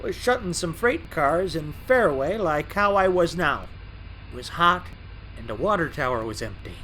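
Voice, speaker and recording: A man's voice: fairly high pitch, animated, moderate pace, pushed and bright, slight background noise, low-quality recording. A man voicing a boastful, charming male tank engine in an audio drama, with a jaunty, folksy manner.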